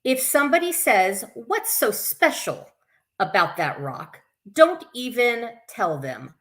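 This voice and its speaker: A woman's voice: fairly high pitch, big pitch swings, takes it slowly, a bit bright. A woman hosting a live story time for children, reading aloud with informal, chatty asides. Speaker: camp staff story time host.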